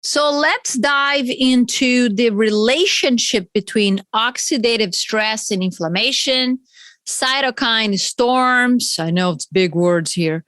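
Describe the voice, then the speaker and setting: A woman's voice: fairly high pitch, slow pace, a bit bright, no room sound. A woman with a Brazilian accent hosting a solo health podcast, chatty and explaining terms with friendly asides. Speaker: podcast host.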